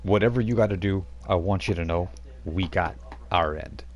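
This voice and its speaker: Man doing a gruff slangy werewolf brawler. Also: low-pitched, normal speed, highs cut off, natural voice. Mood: gruff friendly. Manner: blunt slangy swagger, casual "man" endings. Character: gruff tough-talking male werewolf brawler.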